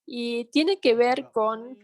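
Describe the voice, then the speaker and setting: A woman simultaneously interpreting a health training webinar, speaking in halting phrases with pauses and self-corrections. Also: on the high side. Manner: halting delivery with pauses and restarts.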